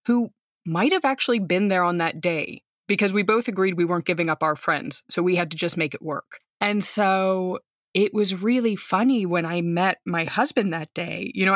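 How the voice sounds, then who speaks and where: A woman's voice: fairly low pitch, no echo, studio quality. An American woman in her thirties, an outgoing teacher telling a story in casual conversation and acting out the voices of the people in it. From United States. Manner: animated, outgoing storyteller who acts out quoted lines. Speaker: guest, teacher.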